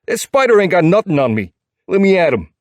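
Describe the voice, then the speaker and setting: Man playing a scrappy New York biker, higher-pitched. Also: big pitch swings, brisk, no echo. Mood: cocky, combative. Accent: thick New York. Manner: combative, full of attitude. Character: short, hot-headed, foul-mouthed male biker.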